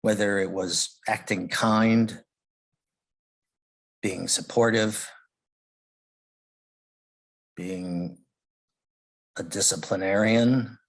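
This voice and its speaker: A man with a somewhat low, husky voice leads a guided meditation. He speaks very slowly and gently, leaving long pauses between short phrases. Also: steady tone. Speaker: meditation leader.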